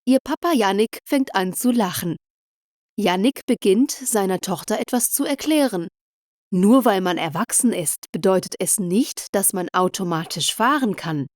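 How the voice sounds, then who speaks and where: A woman's voice: mid-range voice, expressive, moderate pace, no room sound. Woman reading a simple story, dry studio sound. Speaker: narrator of language-learning stories. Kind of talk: scripted narration of a simple story for German learners.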